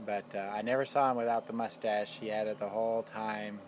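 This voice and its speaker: A man in his fifties from Texas, telling childhood memories in a relaxed family interview, halting with fillers. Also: lowish voice, a little hiss or hum. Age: 50s. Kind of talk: casual family interview storytelling. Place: backyard outdoors.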